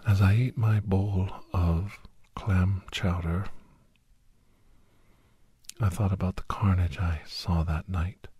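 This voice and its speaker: A man playing a weary, wry doctor telling his adventures in a hushed, deadpan ASMR style with a husky, breathy half-whisper. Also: low voice, slow, pauses often, a little hiss or hum. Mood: wry disgust. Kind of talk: ASMR scripted storytelling. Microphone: close, dry microphone.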